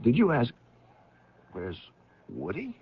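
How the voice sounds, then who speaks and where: An actor in an old-time radio drama playing an uneasy, hesitant family friend who speaks haltingly, grave and protective. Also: male voice, mid-range voice, huge pitch swings, heavily acted. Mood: flustered. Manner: halting, stammering. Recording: very noisy, dull old radio recording.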